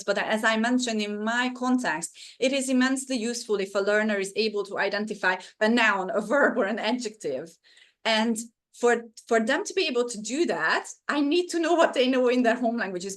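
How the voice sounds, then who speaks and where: Hungarian woman, webinar presenter, slightly high voice, earnest and encouraging, computer mic. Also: fairly bright tone. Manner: earnest, encouraging, with frequent fillers. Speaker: webinar presenter, teacher trainer.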